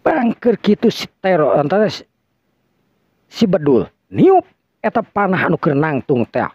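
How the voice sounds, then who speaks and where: A male storyteller with a Sundanese accent delivers dramatic folk-tale narration. His pitch moves widely, and he repeats phrases for theatrical effect. The sound is dry and a bit dull. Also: very animated, measured pace, darkish tone, slightly rough, no echo. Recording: dull lo-fi close recording.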